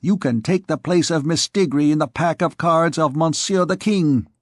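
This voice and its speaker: A man's voice: a little high, normal speed, no room sound, clean studio sound, pitched above the performer's own voice, lightly acted. A man voicing a threatening male card player in an audiobook. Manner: threatening, quarrelsome. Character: angry red-faced male card player.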